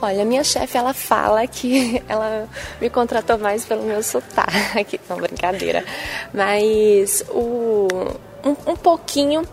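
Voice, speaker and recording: Woman, young adult, Bahian accent, sing-song lilt, tag questions, gravelly creak. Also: on the high side, a little slow. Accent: Bahian Brazilian Portuguese. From Bahia, Brazil. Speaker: TV journalist and presenter. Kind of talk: interview answers.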